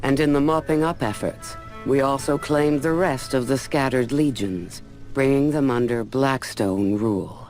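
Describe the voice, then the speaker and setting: Faint music sits behind a man voicing a military officer from a video game. He narrates in a measured, matter-of-fact manner. His pitch is middling for a man. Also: a little mellow, lightly acted. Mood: measured, reflective.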